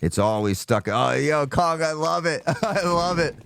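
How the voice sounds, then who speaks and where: A male esports caster with a slightly sore throat, calling play-by-play and erupting into loud shouts on big plays. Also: on the high side, lively intonation, a little slow, creaky.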